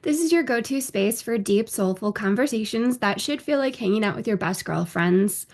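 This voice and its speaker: A woman's voice: medium pitch, lively intonation, moderate pace, a little mellow. A woman in her thirties hosting a podcast, welcoming listeners and chatting casually with her co-host. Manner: friendly, welcoming, some fillers. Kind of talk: casual chat.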